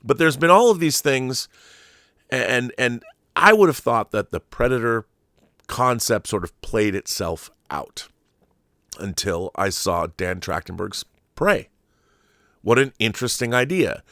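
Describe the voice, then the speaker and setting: A man's voice: mid-range voice, animated, unhurried, fairly bright tone, no room sound, clean studio sound. A middle-aged man hosting an unscripted film commentary show, talking in an emphatic, digressive, opinionated fan style.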